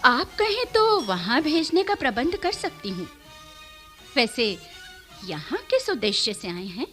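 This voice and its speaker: A high-voiced, melancholy noble lady. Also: big pitch swings, measured pace, fairly bright tone, soft background music, full character voice. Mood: poised, gracious. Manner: poised, formal, theatrical. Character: refined, melancholy noble lady longing to marry a king. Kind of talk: classical Hindi radio play acting.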